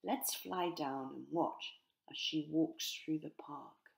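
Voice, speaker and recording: Woman voicing Hex, a gentle low-voiced mother jackdaw. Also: slow, gravelly, exaggerated voice. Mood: hushed awe. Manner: hushed, whispery asides.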